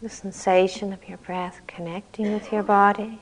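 Calm woman guiding a meditation. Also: mid-range voice. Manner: calm, gentle, unhurried instruction with long pauses. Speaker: meditation teacher. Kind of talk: guided meditation.

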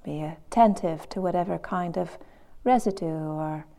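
A woman teaching guided meditation, gently and in measured phrases with long pauses. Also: fairly low pitch, gravelly.

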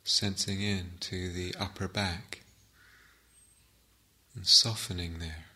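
A man giving guided meditation instructions as a teacher, gently and unhurriedly, with long pauses. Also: deep voice, steady tone.

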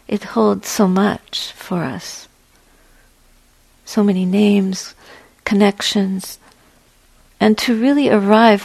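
Woman, meditation teacher, unhurried pauses, gravelly soft voice, gentle guided delivery, dry close sound. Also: medium pitch, dry recording, rough recording. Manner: gentle, measured, with long pauses between short phrases.

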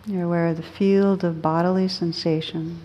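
A woman teaching meditation, guiding listeners gently and unhurriedly, with pauses between short phrases. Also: lowish voice, little pitch movement, muffled recording. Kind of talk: guided meditation.

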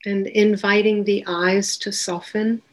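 A woman leading a guided meditation as a teacher, giving gentle, unhurried instructions with long pauses between phrases. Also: medium pitch, little pitch movement, rough recording.

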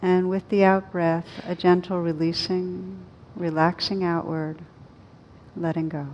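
A woman leads a guided meditation in a low, very even voice. She gives slow, soothing instructions and leaves long pauses between phrases. The recording is dull and lo-fi. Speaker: meditation teacher. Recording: dull lo-fi recording with rumbling background noise.

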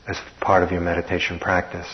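A man teaching a guided meditation in an unhurried manner, leaving long pauses between short phrases. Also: low-pitched, monotone. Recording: dull, muffled recording.

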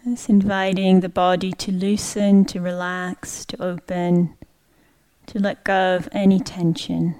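Slow, mellow woman guiding meditation. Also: fairly low pitch, level tone. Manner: gentle, unhurried, with long pauses between short phrases. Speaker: meditation teacher. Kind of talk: guided meditation.

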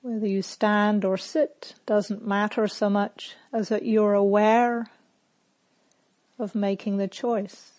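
A woman meditation teacher leading a guided meditation in short, measured phrases with long pauses. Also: mid-range voice, steady tone, darkish tone.

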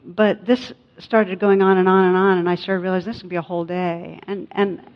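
A woman giving a meditation talk as a teacher, speaking in a reflective, conversational and gently instructive way, with personal anecdotes. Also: lowish voice, little pitch movement, fairly quick. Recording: dull, muffled lo-fi sound.

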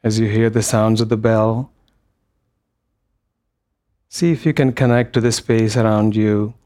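Slow, even-voiced man guiding meditation. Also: fairly low pitch. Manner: gentle, unhurried, pausing between short phrases. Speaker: meditation teacher. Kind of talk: guided meditation. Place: meditation hall.

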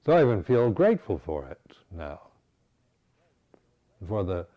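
Older man, low pitch, halting reflective dharma talk, muffled lo-fi recording. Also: sing-song. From United States. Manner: reflective, halting, many restarts. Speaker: Buddhist monk teacher.

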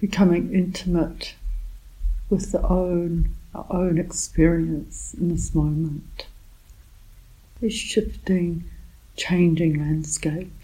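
Woman meditation teacher, real slow, mellow voice, calm, leaves big gaps between little phrases. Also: lowish voice, rough recording. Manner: unhurried, with short phrases and long pauses. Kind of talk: guided meditation, softly spoken instruction.

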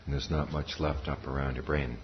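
An extremely low-voiced man leads a guided meditation. He speaks calmly and in a measured way, leaving pauses between short phrases. The recording is somewhat muffled, with a faint noise underneath. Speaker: meditation teacher. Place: meditation center talk.

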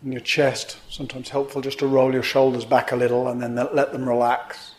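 A man teaching meditation, guiding a sitting in gentle, measured phrases with pauses between them. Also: medium pitch, completely flat delivery, a little hiss or hum. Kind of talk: guided meditation instruction.

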